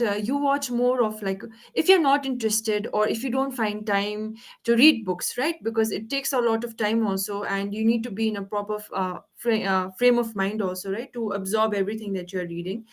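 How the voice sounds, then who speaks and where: A woman tutoring English on a video call, patiently correcting pronunciation and asking the learner to repeat words. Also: on the high side. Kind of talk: online one-on-one English lesson.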